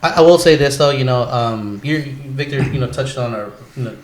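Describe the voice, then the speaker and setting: A man's voice: mid-range voice, fairly quick. A man hosting a casual podcast chat, effusive and repetitive, with plenty of slang and swearing. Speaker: podcast host. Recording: echoey room, clear background noise.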